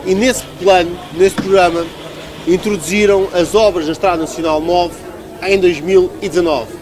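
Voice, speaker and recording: A man's voice: sits high, steady tone, moderate pace, crowd noise, background noise. A man with a European Portuguese accent giving a conversational political interview as a local politician, arguing emphatically and repeating phrases for stress.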